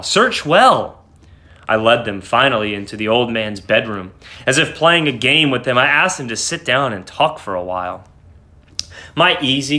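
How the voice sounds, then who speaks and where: A man playing a mad, guilt-ridden murderer narrating a horror story, dramatic, shifting between hushed and frantic. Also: medium pitch. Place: small home room.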